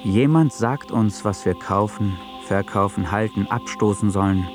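An actor playing a detached choral narrator in a radio drama, reading scripted lines. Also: male voice, lowish voice, measured pace, lightly acted. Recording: music behind the voice.

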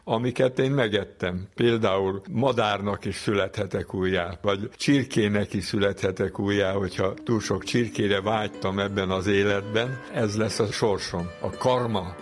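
Male expert interviewee, lower-pitched voice, explanatory delivery. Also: hardly any pauses, soft background music.

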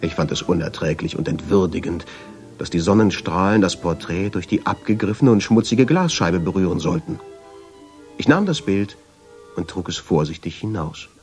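An actor playing a reflective traveller who tells his story in measured, deliberate radio drama narration, with a husky voice. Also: animated, a little mellow, soft background music. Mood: brooding, fascinated. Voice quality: low, husky voice. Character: reflective male traveller, drawn and obsessive, telling his story. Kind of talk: literary radio drama narration in first person.

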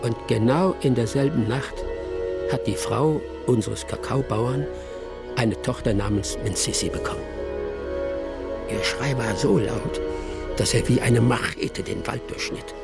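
A man playing a wily, unhurried village storyteller in a radio drama, speaking in a deliberate, teasing way. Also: medium pitch, creaky, loud music behind the voice. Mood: absorbed storytelling. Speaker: actor in a radio play.

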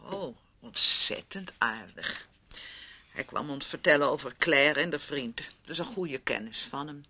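A man's voice: a little high, animated, measured pace, pushed and bright, full character voice. A male actor in a radio play playing a polite parent, hesitant, soothing and mildly evasive. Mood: evasive, reassuring. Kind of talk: Dutch radio play acting.

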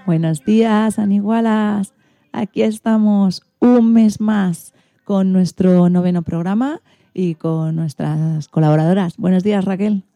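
A woman's voice: mid-range voice, unhurried, mellow, dry recording. A woman with an accent from Spain, hosting a radio programme with scripted presenting. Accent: Spanish (Spain). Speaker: radio host.

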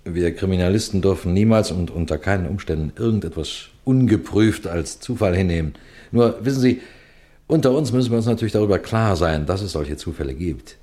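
An actor playing a shrewd, composed senior police detective in a radio crime play, speaking in a deliberate, courteous, instructive way. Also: male voice, sits low, dark tone, natural voice. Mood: assured, explaining. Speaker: detective and narrator addressing listeners. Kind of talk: scripted German radio crime drama.

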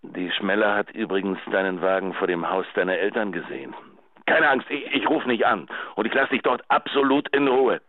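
Actor as a deep-voiced, doting old grumbly bear. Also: male voice, expressive, normal speed, no echo, lightly acted. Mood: wounded, tender. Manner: coaxing, pleading, tender. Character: doting, possessive older man, self-styled old grumbly bear. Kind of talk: radio drama acting as a phone message. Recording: dry, narrow answering machine phone line.

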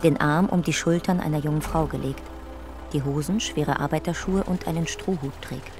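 An actress as a sober documentary narrator in a radio drama, giving measured, matter-of-fact scripted descriptions. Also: female voice, sits low, steady tone, over music. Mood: sober, factual.

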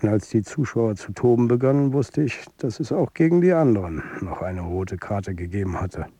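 A man's voice: on the low side, expressive, moderate pace, mellow, slightly rough, dry recording. An actor playing a middle-aged, world-weary football coach who tells his own story candidly in colloquial radio drama narration. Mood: confiding, wry. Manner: confiding, colloquial. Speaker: narrator and lead character. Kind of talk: intimate first-person radio drama narration.

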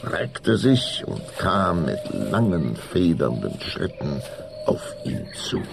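A man's voice: deep voice, big pitch swings, slow, vocal fry, background noise. A man narrating a children's spooky radio play in a theatrical, suspenseful way. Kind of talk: dramatic scripted narration of children's horror story.

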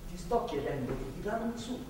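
A male stage actor playing a volatile born-again reformed drunk, pleading haltingly with his wife in a theatrical, impassioned way. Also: sits high, animated. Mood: pleading, desperate. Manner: halting, imploring, swinging from hushed to shouting. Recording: echoey theatre hall, distant mic, faint noise.

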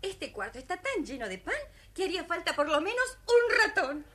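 An actress playing a haughty, mocking young princess in a children's audio fairy tale. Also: female voice, very high voice, sing-song, moderate pace, fairly bright tone, full character voice. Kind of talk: recorded children's audio storybook acting.

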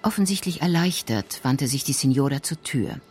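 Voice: mid-range voice, expressive, moderate pace, darkish tone, faint music behind. A narrator reading scripted literary prose for a radio crime drama.

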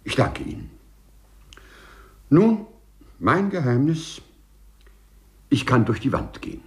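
An actor in a 1950s German radio play, playing a polite, eccentric prisoner who speaks formally and precisely, serenely proud and rapt. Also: male voice, medium pitch, very animated, slow pace. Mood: courteous, earnest. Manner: courteous, formal, precise, rapturous. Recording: 1950s radio drama recording.

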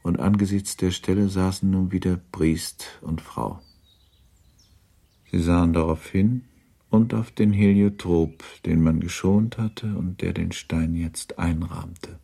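A man's voice: low voice, fairly even intonation, slow, gravelly, warm tone, husky, full character voice. A man narrating a literary radio drama in a sober, composed manner.

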